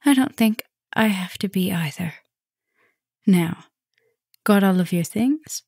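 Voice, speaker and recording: A woman playing an affectionate, softly dominant vampire professor in an intimate ASMR roleplay, speaking softly and teasingly, often dropping into a whisper. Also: lowish voice, dry recording, clean studio sound.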